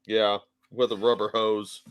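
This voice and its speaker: Co-host, male, lowish voice, relaxed, swears casually, reads chat aloud. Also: unhurried, smooth. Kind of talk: casual political commentary chat.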